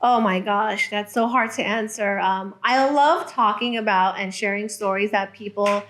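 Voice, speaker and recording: A woman's voice: mid-range voice, normal speed. Chatty woman guest, lots of um and you know, easygoing interview talk. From United States. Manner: warm, chatty, many fillers and restarts. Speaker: interview guest, TV host and food influencer. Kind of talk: casual interview chat.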